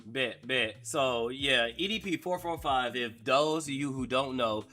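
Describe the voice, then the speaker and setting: Hoarse, excitable male streamer. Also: mid-range voice, unhurried, soft background music. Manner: slangy, excitable storyteller. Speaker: streamer host. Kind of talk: casual chat on a live stream.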